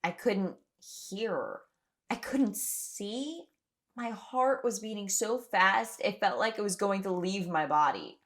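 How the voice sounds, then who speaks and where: A woman's voice: mid-range voice, lively intonation, takes it slowly, fairly bright tone. A woman acting in an audio drama as an anxious, exhausted young woman trapped on an island, speaking with emotional intensity. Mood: shaken, recounting. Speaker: lead actor in an audio drama. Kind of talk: audio drama acting, first-person recollection and dialogue.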